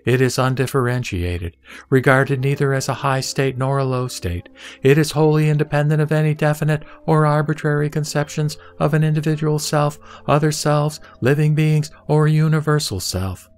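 A man playing the Buddha as a serene teacher, speaking in a measured, solemn way in an audio drama of scripture. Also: fairly high pitch, steady tone, smooth, close to an everyday voice. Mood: calm, instructive. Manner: measured, solemn, didactic delivery. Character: the Buddha, serene male teacher instructing a disciple. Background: background music.

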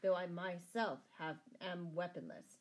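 A woman's voice: fairly low pitch, a little slow. A woman voicing a proud, loyal male outlaw captive in a storybook, with ringing, declamatory heroic speech. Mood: proud pleading.

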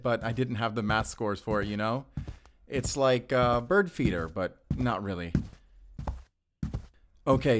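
A man's voice: mid-range voice, slight background noise, natural voice. A man playing an awkward, friendly, bird-loving single dad who rambles apologetically in an audio roleplay. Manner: flustered, apologetic, chatty with nervous chuckles. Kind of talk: audio roleplay addressed to the listener.

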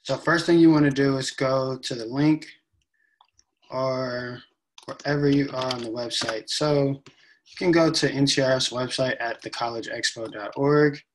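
A young man giving a relaxed step-by-step tutorial, with frequent ums, recorded on a laptop webcam in a room at home. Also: mid-range voice, normal speed. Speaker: event staff giving a tutorial. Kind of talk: casual screen-share walkthrough.

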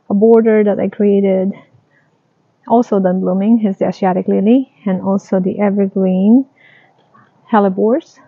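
A woman giving a casual tour of her garden, talking in a slow, halting, plain way. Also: mid-range voice, gravelly, no room sound. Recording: dry, tinny phone-like sound.

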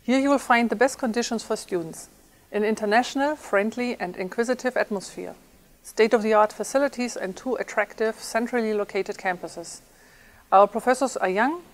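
A woman's voice: mid-range voice, a little fast. A middle-aged woman giving a formal, polished promotional statement as a university president.

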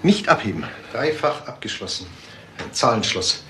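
A man's voice: medium pitch, sing-song, normal speed, sharp tone, slight background noise. An actor playing a suspicious, worried middle-aged father in a German crime radio play, stern and insistent. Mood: anxious, stern. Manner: agitated, insistent.

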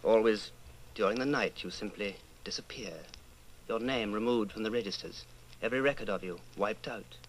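An actor playing a brooding, fearful middle-aged secret rebel, speaking an intense, halting inner monologue in a radio drama. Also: male voice, on the low side, natural voice, rough recording. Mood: fatalistic, defiant. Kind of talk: old-time radio dramatization, interior monologue.

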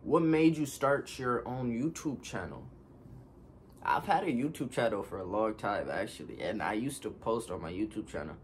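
Twenties male vlogger, low-pitched, casual and unhurried as he fields questions from home. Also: slight background noise. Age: 20s. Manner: relaxed, reads questions aloud then answers offhand. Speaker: content creator answering fan questions. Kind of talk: casual Q&A vlog. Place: living room, seated on a couch.

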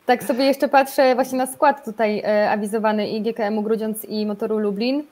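A woman in her thirties with a fairly high voice talks sport in a casual way. She sounds like a presenter chatting over a video call from a room at home. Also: fairly even intonation, brisk, darkish tone. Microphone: video call microphone.

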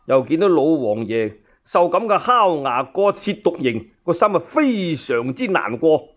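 A man with a Cantonese accent telling a historical novel as a solo storyteller, in an animated, colloquial and dramatic way. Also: a little high, unhurried. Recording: dull lo-fi radio recording.

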